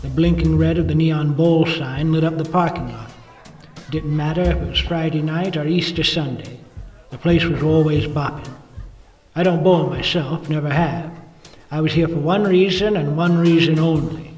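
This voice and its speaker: An actor playing a weary, wisecracking 1950s police detective in a hardboiled noir radio play, narrating with wry fatigue. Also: male voice, fairly high pitch, moderate pace, darkish tone, reverberant, soft background music, lightly acted, poor audio. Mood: brooding, wry.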